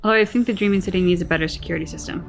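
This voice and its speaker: A woman's voice: on the low side, rapid-fire, vocal fry, music in the background. A woman co-hosting a reaction video, chatting in a casual, playful way. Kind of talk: casual chat.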